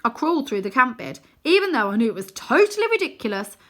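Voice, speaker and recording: Storybook girl, played by a woman: headstrong, scornful, firm, higher-pitched with lively swings. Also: fast. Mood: indignant, defensive. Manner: firm, sceptical, exasperated. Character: stubborn, bossy, scornful girl, a twin sister.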